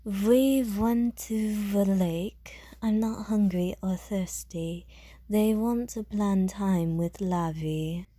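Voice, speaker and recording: A woman playing a calm, world-weary woman who speaks politely and measuredly, with dry teasing and care, in an audio roleplay. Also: mid-range voice, dry recording. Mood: dry, composed. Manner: measured, polite, softly jaded.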